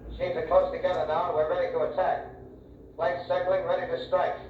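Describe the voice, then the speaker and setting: An actor in a 1930s radio drama playing an army bomber pilot, giving a grim, clipped battle report over aircraft radio. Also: male voice, high voice, little pitch movement, fairly quick, recorded from a distance, a little hiss or hum. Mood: tense, controlled. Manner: clipped, formal military reporting. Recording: echoey, dull old radio recording from vinyl.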